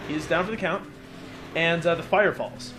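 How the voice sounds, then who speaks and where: A man's voice: on the high side, normal speed, noisy background. A man hosting a video game let's play, giving casual, joking commentary in a rambling, hesitant way with mock-earnest enthusiasm. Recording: game music behind the voice.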